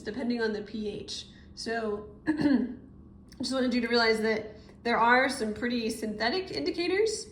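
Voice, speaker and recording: A woman teacher giving a casual, explanatory step-by-step tutorial, recorded indoors at a desk. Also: fairly high pitch. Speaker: chemistry teacher. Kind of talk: informal step-by-step tutorial narration. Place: indoor room, at a desk over paper. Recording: roomy echo with noticeable background noise.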